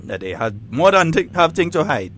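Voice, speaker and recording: A man's voice: a little high, very animated, normal speed, a bit bright. A man with a Trinidadian and Grenadian accent, hosting a talk radio show with emphatic, repeated, combative points. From Trinidad and Tobago.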